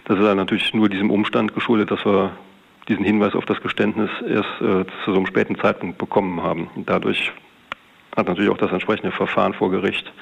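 A man's voice: lowish voice, gravelly, slightly rough, muffled recording. A middle-aged man, a police detective, giving a wordy, hesitant, matter-of-fact account in an interview.

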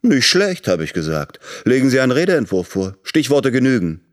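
An actor playing a calculating, cynical politician confiding in a radio drama monologue. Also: male voice, mid-range voice, very animated, moderate pace, close to an everyday voice.

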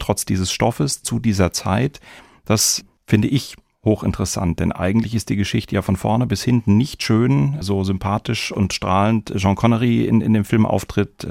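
Male podcast host, fairly low with vocal fry, articulate, conversational, inquisitive. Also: darkish tone, no echo, studio quality. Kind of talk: conversational film and legal discussion.

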